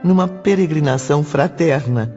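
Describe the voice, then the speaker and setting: An actor as the solemn narrator of an audio drama, giving measured, literary scripted narration. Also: male voice, on the high side, a little mellow, muffled recording, soft background music, lightly acted. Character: solemn storytelling male narrator of spiritual drama.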